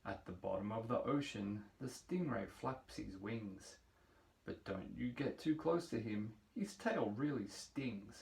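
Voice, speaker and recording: A man reading a rhyming picture book aloud for young children in a measured, playful storytelling manner. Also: on the low side, expressive, creaky, darkish tone. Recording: roomy echo, distant microphone.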